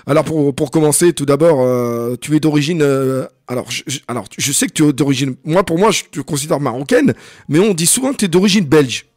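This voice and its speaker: A male radio host with a slightly high voice, speaking from a dry, close studio. He opens his show with upbeat greetings, then settles into a halting manner full of fillers as he asks his questions. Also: darkish tone, no room sound. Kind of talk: live radio interview.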